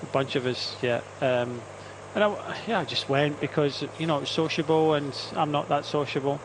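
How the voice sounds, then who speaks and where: A man co-commentating a cycle race, relaxed and rambling, giving rider's-eye tactics. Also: fairly high pitch, normal speed, background noise. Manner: relaxed, self-deprecating, rambling. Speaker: cycling co-commentator. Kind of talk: live race commentary chat. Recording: commentary over loud race ambience.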